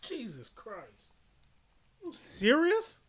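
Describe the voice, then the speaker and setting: A man's voice: extremely high pitch, huge pitch swings, extremely slow, fairly bright tone. A man giving casual, opinionated podcast commentary as a host, speaking bluntly and emphatically with frequent swearing.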